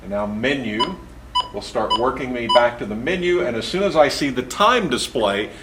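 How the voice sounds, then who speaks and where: A man calmly explaining, step by step and off camera, how to set up a device, with steady, methodical pacing. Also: medium pitch, moderate pace, heavy background noise. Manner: patient and methodical, explains each button press. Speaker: instructor. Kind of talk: step-by-step tutorial.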